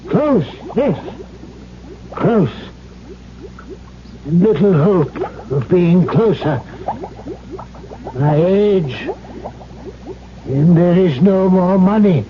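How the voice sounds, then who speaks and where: An actor playing a weary, grave old scholar of forbidden elixirs in a slow, portentous 1970s radio drama style. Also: male voice, high-pitched, lively intonation, exaggerated voice. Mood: weary, guarded. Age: older. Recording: dull, hissy vintage radio broadcast.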